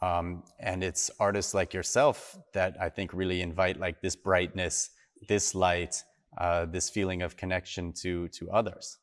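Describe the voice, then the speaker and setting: A man hosting a reflective, conversational interview in a measured, earnest manner, pausing mid-thought. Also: deep voice, little pitch movement, big-room sound. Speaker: host.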